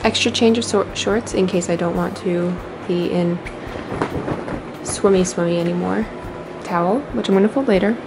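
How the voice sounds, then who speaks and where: A woman, chatting casually and haltingly to a camera in an offhand vlog style. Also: fairly low pitch, fairly even intonation, very noisy. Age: 20s. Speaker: vlogger. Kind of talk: casual beach-routine vlog chat. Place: small bedroom, indoors. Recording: loud music behind the voice. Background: loud music.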